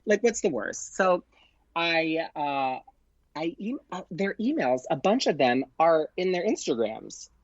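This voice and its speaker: A man chatting casually as a podcast guest, telling stories in an animated way and acting out what people said. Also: on the high side, unhurried, no room sound, highs cut off. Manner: animated, acts out quoted exchanges. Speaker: podcast guest, performer and producer.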